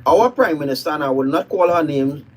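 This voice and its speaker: A man's voice: a little high, expressive, a little fast. A man from Trinidad and Tobago with a Trinidadian accent, giving outspoken, opinionated commentary on a live stream.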